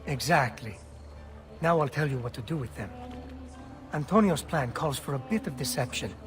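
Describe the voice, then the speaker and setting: A man playing a brisk, streetwise thief in a video game. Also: mid-range voice, animated, faint music behind, natural voice. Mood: instructive. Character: brisk, streetwise Italian thief giving orders. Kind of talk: video game cutscene acting.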